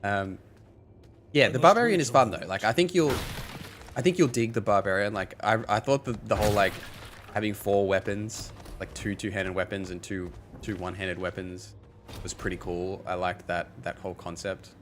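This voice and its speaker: Hoarse low-voiced man streaming. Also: moderate pace, a little hiss or hum. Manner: rambling, thinks aloud with many fillers. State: hoarse, losing his voice. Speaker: game streamer. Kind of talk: casual live-stream commentary, chatting with viewers. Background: game music.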